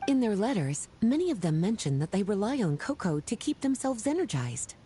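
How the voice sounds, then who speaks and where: An actress playing a playful, flirtatious, well-read woman in a video game, speaking in a coy, polished, unhurried way. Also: mid-range voice, sing-song, warm tone, a little hiss or hum, close to an everyday voice. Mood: composed, caring. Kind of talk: scripted video game character dialogue. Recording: game music behind the voice.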